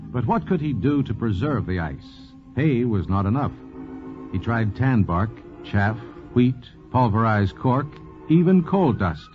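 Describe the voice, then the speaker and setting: An American man delivering scripted narration in the style of a 1940s radio documentary. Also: mid-range voice. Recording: dull vintage 1940s radio recording.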